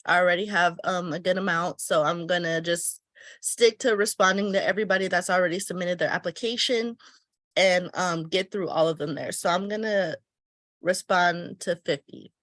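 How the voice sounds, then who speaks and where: A woman's voice: lowish voice, moderate pace, dry recording. A woman who runs a travel business gives a casual step-by-step tutorial on weekly planning, thinking aloud as she fills in her schedule, her voice a bit stuffy from a cold. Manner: thinks aloud while filling in a planner, relaxed and chatty. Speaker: travel agency owner teaching planning.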